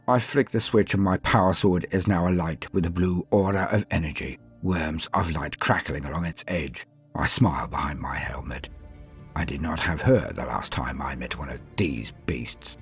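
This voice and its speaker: A man playing a proud, coldly resolute veteran space marine captain in a solemn, measured sci-fi war monologue with dramatic pauses. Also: deep voice, vocal fry, husky, heavily acted.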